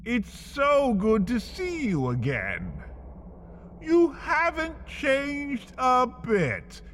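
A man narrating in a dramatic, spooky storyteller manner, a deadpan horror parody. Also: drawn-out words, a little hiss or hum. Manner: dramatic, spooky storyteller delivery with swooping pitch. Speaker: narrator and host.

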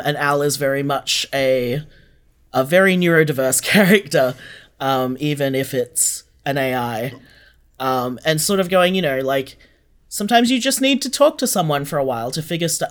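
A man's voice: fairly high pitch, a bit bright. A man explaining things earnestly in a reflective interview, repeating phrases for emphasis. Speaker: podcast guest, audio drama co-creator.

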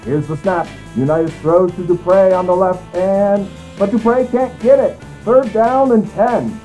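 Man acting as 1950s sports announcer, high excited voice, play-by-play commentary, music behind. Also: unhurried, rough recording. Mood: keyed-up.

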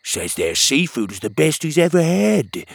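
A man's voice: a little high, very animated, normal speed, vocal fry, breathy edge, no room sound, pitched above the performer's own voice, full character voice. A man doing a teasing, loyal young sidekick. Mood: reassuring. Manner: teasing, reassuring.

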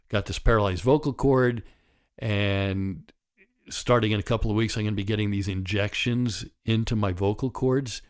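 A man in his sixties with a raspy voice from a partly paralyzed vocal cord, talking candidly and wryly as host of a solo podcast. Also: fairly low pitch. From United States. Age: 60s. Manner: candid, wry and conversational, with chuckles. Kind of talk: reflective solo podcast monologue.

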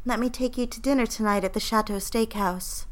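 A woman's voice: fairly high pitch, steady tone, fairly quick, close to an everyday voice. A woman playing an earnest, proper young single career girl in an audio drama, speaking in old-fashioned, demure phrases. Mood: wistful, hopeful. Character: earnest twenty-four-year-old single career girl telling her diary. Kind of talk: audio drama narration and dialogue. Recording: music under the voice. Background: music.

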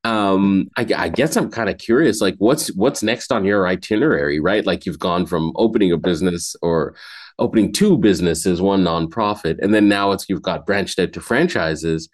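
A man with a very low voice hosts a podcast interview over a call. He gushes with praise and rambles, full of fillers and restarted phrases. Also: normal speed. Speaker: podcast host.

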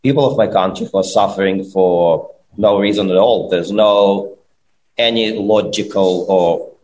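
Low-voiced Ukrainian-accented man. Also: a little slow, darkish tone, low-quality recording. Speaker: guest. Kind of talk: casual chat.

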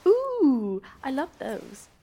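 A woman's voice: sits high, huge pitch swings, very slow, warm tone, full character voice. A woman acting as an opinionated, gossip-loving ordinary voter in a radio play, chatting expressively. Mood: gossipy, opinionated.

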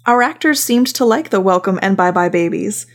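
Creaky-voiced woman hosting a podcast. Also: mid-range voice, animated, normal speed. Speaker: podcast host, voice director. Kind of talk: casual semi-scripted podcast narration.

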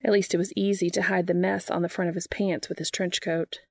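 A woman's voice: lowish voice, level tone, brisk, creaky, a little mellow, no room sound, clean studio sound. A woman reading fan fiction aloud as narration, in the style of an audiobook.

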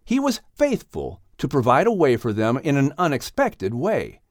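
Male narrator for children's story. Animated melody, medium pitch, close studio-dry sound. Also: moderate pace, no echo. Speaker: audiobook narrator.

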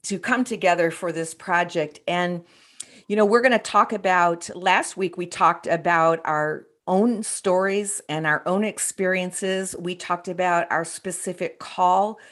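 A woman in her forties hosts a faith podcast as a pastor, speaking earnestly and steering with questions. Her voice sits a little low for a woman. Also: unhurried, a bit bright. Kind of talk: podcast interview hosting.

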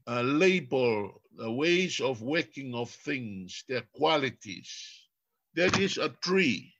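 An older man from Tonga with a Tongan accent, English not his first language, talking as a philosopher guest in a reflective, deliberate way, repeating phrases for emphasis. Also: mid-range voice, fairly bright tone, muffled recording. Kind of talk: reflective lecture-like talk.

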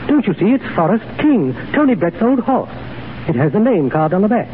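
Animated, high-pitched lord. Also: male voice, a little fast. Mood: excited. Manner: fussy, exclamatory, aristocratic. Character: excitable, well-bred English lord, a middle-aged uncle. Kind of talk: 1930s radio acting. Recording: noisy, tinny 1930s radio broadcast.

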